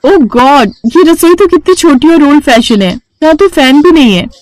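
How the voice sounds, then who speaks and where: A woman plays a wealthy young daughter-in-law in a children's cartoon story. She is showy and pampered, speaking high and very expressively, with a fussy, complaining affectation. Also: expressive, normal speed, no room sound, poor audio. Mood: dismayed complaint. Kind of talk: Hindi cartoon bedtime-story character acting.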